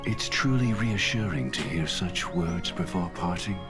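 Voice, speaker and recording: Grave, low-voiced fatherly guardian. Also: expressive, unhurried, over music. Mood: solemn, gentle. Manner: grave, formal, preacher-like. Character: solemn, fatherly older gentleman guardian bidding children farewell.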